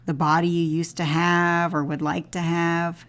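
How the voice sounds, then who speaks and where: A woman with a slightly low voice guides a body scan meditation. She speaks very slowly and gently, leaving long pauses between phrases as she gives each instruction. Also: level tone.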